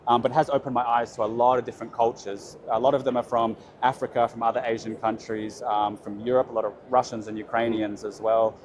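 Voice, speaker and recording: A man's voice: mid-range voice, flat delivery, quick pace, hardly any pauses, slight background noise. A young Australian man, an expat, chatting in a casual interview with upbeat affirmations and frequent fillers. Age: young adult.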